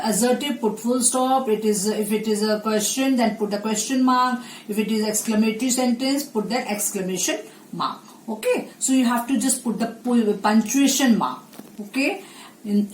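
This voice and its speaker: A patient woman teacher speaks slowly and deliberately, her fairly high voice repeating each answer. She is recorded through a desk webcam in a small, echoey room with a faint hiss behind her. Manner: slow, patient, repeats answers for young pupils. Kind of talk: online class lesson.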